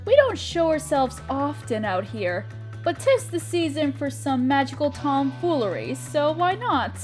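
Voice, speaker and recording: A woman plays a kindly young witch in an audio roleplay, with a high voice and an unhurried, savoring delivery. She is coy and theatrical, fond of old-fashioned endearments, and swings widely in pitch over a music backing. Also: very animated, a little mellow. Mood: playful, teasing. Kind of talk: scripted roleplay audio drama.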